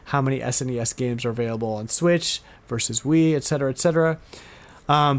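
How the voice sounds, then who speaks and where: A man speaks as a podcast host in a middling pitch. His delivery is casual and informal, like chat among hobbyists. He tends to halt and repeat words as he goes. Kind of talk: casual chat about retro game news.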